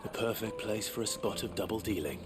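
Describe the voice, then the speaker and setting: A man's voice: fairly low pitch, brisk, music in the background, lightly acted. An actor playing a male video game companion who remarks in a dry, sardonic way. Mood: wry.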